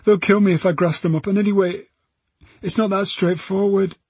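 A man's voice: fairly high pitch, level tone, normal speed, a little mellow, slightly rough, no echo, voice pushed up. A man voicing a frightened, defiant injured teenage girl, sullen and wary, in an audiobook. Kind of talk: audiobook character voice.

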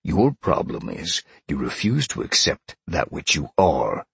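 A man voicing a gruff, impatient lion shapeshifter in an audiobook, growling and bluntly sardonic. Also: extremely low pitch, huge pitch swings, unhurried, a bit bright, no echo, heavily acted. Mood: menacing. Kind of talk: audiobook character acting.

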